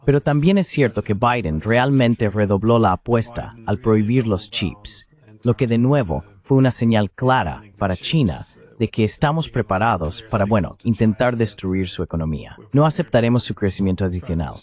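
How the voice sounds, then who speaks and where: A man voicing a translated interview as the interviewer, speaking in a measured, analytical manner. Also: lowish voice, animated, darkish tone.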